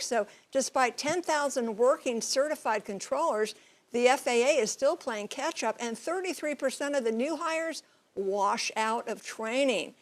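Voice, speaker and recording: Higher-pitched woman news correspondent. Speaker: TV transportation correspondent and pilot. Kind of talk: broadcast news reporting.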